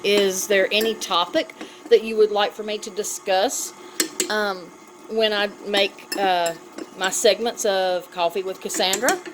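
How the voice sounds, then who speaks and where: A woman's voice: mid-range voice, steady tone, measured pace. A woman giving a casual cooking demonstration, chatty and affectionate as she talks directly to viewers. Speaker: home cooking vlog host. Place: home kitchen, indoors. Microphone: phone camera on the counter. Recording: noisy home kitchen with clattering dishes.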